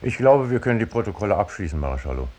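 Male doctor at a police inquiry, old radio drama: lowish, husky, widely moving intonation, reflective and sober. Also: very animated, quick pace, darkish tone. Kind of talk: 1960s radio drama dialogue.

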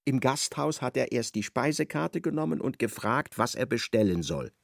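A man's voice: mid-range voice, moderate pace, vocal fry, no room sound, clean studio sound. A man narrating a literary radio play in a theatrical manner, retelling conversations in reported speech.

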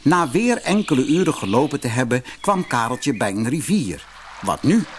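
A man narrating a children's fairy tale audiobook in an expressive storytelling manner. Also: medium pitch, moderate pace, slight background noise.

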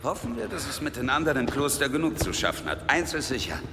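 Gravelly, gruff, impatient wanderer. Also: male voice, medium pitch, wildly swooping pitch, quick pace. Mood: gruff impatience. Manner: gruff, curt, sardonic. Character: gruff, impatient, mocking Danish male wanderer. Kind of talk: German audio drama acting. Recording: long cave-like reverb, music underneath.

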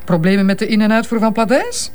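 An actress playing a level-headed Flemish woman magistrate in a radio drama, brisk, mocking and colloquial. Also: medium pitch, lively intonation, darkish tone, a little hiss or hum, natural voice. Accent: Flemish Belgian. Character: level-headed, teasing Flemish woman magistrate keeping her husband in check. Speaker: actress in a detective audio drama. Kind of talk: naturalistic radio drama dialogue.

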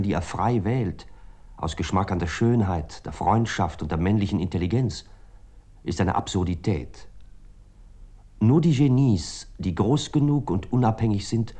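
A grave man of letters. His voice is rather low and very dark, soft-edged in tone. He sets out severe convictions in formal, solemn statements, as a scripted literary reading for radio. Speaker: reader of quoted writings.

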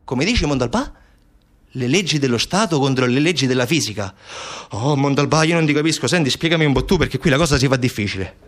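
A man's voice: mid-range voice, fast talker, natural voice. A man playing an evasive, sarcastic official in a colloquial, theatrical satirical monologue. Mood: mock baffled. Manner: colloquial, sarcastic, animated rhetorical asides. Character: evasive southern Italian official mockingly defending dubious forensic experts. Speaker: stage actor. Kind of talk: theatrical satirical monologue, one side of a conversation.

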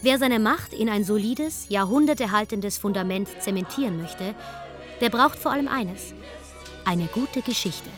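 A woman's voice: mid-range voice, expressive, normal speed, soft background music, lightly acted. A woman playing an ironic, matter-of-fact narrator, delivering scripted satire.

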